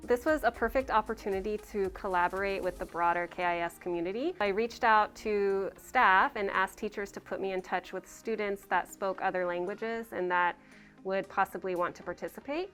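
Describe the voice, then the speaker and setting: Woman teacher, documentary interview, brisk and eager, faint music bed. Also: mid-range voice, fairly bright tone. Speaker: elementary school teacher.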